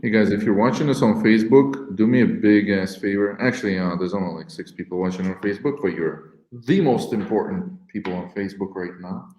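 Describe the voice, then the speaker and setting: A man with a lowish voice hosts a casual livestream call, pitching his lead service in an upbeat, loose salesman way and swearing freely. His voice rings out in an echoey room. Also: fairly quick, hardly any pauses, a little mellow. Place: in Ukraine.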